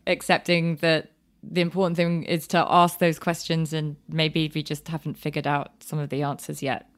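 A woman's voice: low-pitched, little pitch movement, normal speed. A woman with a British accent hosting a podcast and interviewing a guest in a conversational way. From Britain.

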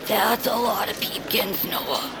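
A woman voicing a timid, nervous, sweet little creature with a creaky voice and a hesitant, worried manner. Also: mid-range voice, slow, heavy background noise. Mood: surprised.

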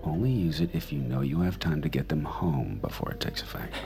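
Very deep, sinister, gravelly killer mentor. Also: male voice, big pitch swings, a little fast, over music, exaggerated voice. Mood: instructing. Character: sinister, controlling male killer mentoring his protégée. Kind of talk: audio drama acting.

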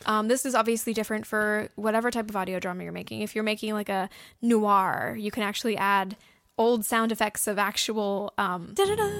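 A woman's voice: medium pitch, fairly quick, fairly bright tone, dry recording. A woman co-hosting a podcast, explaining things casually and chattily with plenty of examples. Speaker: podcast host and audio drama writer. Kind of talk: casual explanatory talk with co-host.

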